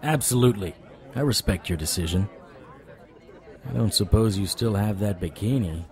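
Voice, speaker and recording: An actor playing a stubborn, wisecracking supernatural private investigator in an audio drama, wry, flirtatious and persuasive. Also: male voice, on the low side, normal speed, a little mellow, a faint crowd, lightly acted. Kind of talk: audio drama acting with noir internal monologue.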